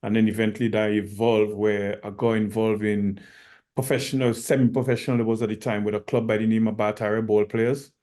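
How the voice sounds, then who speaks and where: A middle-aged man from Trinidad and Tobago, an assistant coach answering interview questions in a measured way, with many pauses. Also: on the low side, little pitch movement, mellow.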